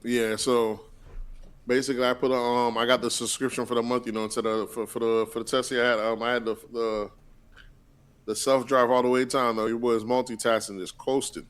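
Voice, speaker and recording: A man's voice: mid-range voice, little pitch movement, fairly quick, fairly bright tone, smooth. A man co-hosting a podcast in casual chat, joking and swearing loosely, often restarting his sentences. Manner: loose, laughing, swearing banter with frequent restarts. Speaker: podcast co-host.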